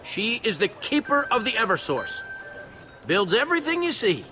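A man's voice: very high voice, very animated, normal speed, over music. An actor playing a proud, earnest male guard captain in a video game, speaking formally. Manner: formal, proclaiming. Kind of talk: animated game voice acting.

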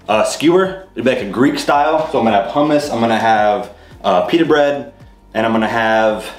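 A young man chatting casually to camera in his home kitchen, showing off groceries with a loose, rambling delivery full of "uh" and "like". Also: mid-range voice. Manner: loose and rambling, many fillers like "uh" and "like". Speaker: fitness vlogger. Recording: roomy kitchen with clear background noise.

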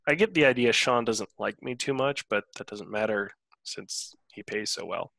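A man's voice: lowish voice, moderate pace, vocal fry, pushed and bright, no echo. A man in his thirties reading a first-person character introduction as a player in an online tabletop game. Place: indoors at home, online video call.

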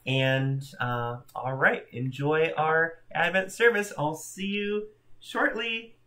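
A man in his thirties warmly rambles through greetings to online churchgoers, laughing between names. His voice is bright and a little high. He speaks to a webcam from a room at home. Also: slow. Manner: chatty, upbeat, greeting viewers by name with laughs. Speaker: church livestream host. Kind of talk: casual welcome chat. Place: home room in front of a backdrop.

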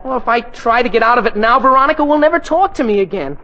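A man playing an excitable, boastful teenage boy in a 1940s radio sitcom, earnest, whiny and easily flustered. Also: very high-pitched, fast talker, exaggerated voice. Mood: panicked, miserable. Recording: dull, noisy 1940s radio recording.

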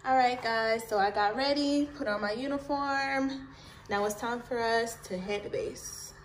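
A woman's voice: on the high side, unhurried, over loud music, low-quality recording. A woman in her twenties chatting casually as a vlogger into a phone held at arm's length. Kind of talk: casual vlog chat. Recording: clear background noise on phone audio.

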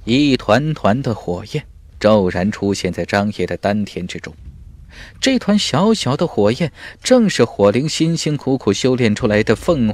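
Male audiobook narrator, dramatic and emphatic, lively intonation, dry close sound. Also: on the low side, dry recording.

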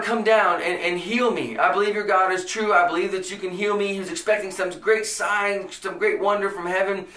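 A man's voice: high voice, pushed and bright, roomy sound, far from the mic. A man in his thirties with an American accent, preaching a podcast sermon with earnest emphasis. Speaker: pastor. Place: small indoor room before a church banner.

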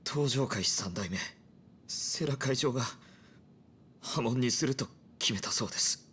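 An actor playing a loyal, earnest young yakuza underling who speaks deferentially, in a crime video game. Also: male voice, mid-range voice, measured pace, a bit bright, a little hiss or hum, close to an everyday voice. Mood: solemn, dutiful.

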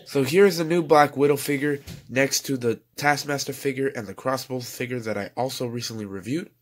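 A young man reviewing toys at home in a rambling, chatty way, his voice going hoarse. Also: medium pitch, a little fast. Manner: rambling, chatty, self-correcting. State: losing his voice. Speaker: toy reviewer. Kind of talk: casual toy review voice-over.

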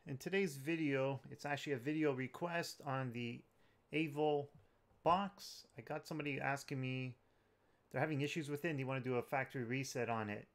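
Clear-voiced man giving a casual tutorial. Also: mid-range voice, fairly even intonation, fairly quick. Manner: informal, plain-spoken. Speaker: tech tutorial host. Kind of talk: casual step-by-step tutorial narration.

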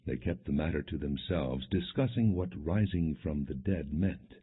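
A man reading scripture as an audiobook narrator, in a solemn, measured, scripted storytelling style. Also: a bass voice, slightly rough. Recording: muffled, narrow phone-like audio.

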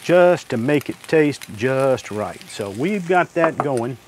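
A man's voice: medium pitch, expressive, slow, very noisy. Easygoing older man cooking outdoors. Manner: easygoing, homespun, talks while working at the griddle. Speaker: cooking show host. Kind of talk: step-by-step cooking demonstration. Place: outdoor covered deck by a griddle. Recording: outdoor recording with loud griddle sizzle.

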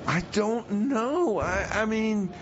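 A man's voice: high-pitched, animated, slow, slight background noise. Man playing a rambling jailed radio DJ, lo-fi. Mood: nervous, flustered. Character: jailed radio DJ, nervous and easily distracted. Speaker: radio show host. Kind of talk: improvised comedy radio skit.

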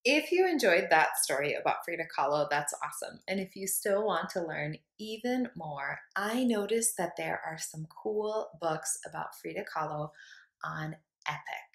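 A young woman teacher reading a picture book aloud to children, gentle and encouraging, often stopping to ask her listeners questions. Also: on the low side, lively intonation, moderate pace, gravelly. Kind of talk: children's read-aloud lesson. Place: small room indoors. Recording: echoey room.

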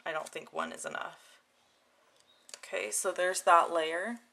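A woman's voice: fairly low pitch, fairly even intonation, unhurried, pushed and bright. A woman casually narrating a hands-on craft demonstration as its host, with informal, chatty phrasing. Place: indoor craft desk. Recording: clear background noise with clicking.

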